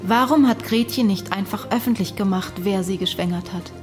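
An actress playing a sober female doctor who asks measured, probing questions in a radio drama. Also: mid-range voice, music in the background, natural voice. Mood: probing, sceptical. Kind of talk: German radio drama dialogue.

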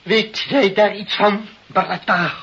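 An actor in a Dutch radio play playing a pompous, blustering government director with a preachy, repetitive way of talking. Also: male voice, sits high, fairly even intonation, takes it slowly, sharp tone, husky, exaggerated voice. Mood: indignant, threatening. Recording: muffled, hissy old radio recording.

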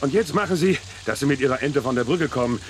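A man's voice: mid-range voice, lively intonation, quick pace, slight background noise, lightly acted. An actor playing an officious but gullible, jovial bridge policeman in a crime radio play, bossy and pedantic yet chummy. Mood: brisk, dry.

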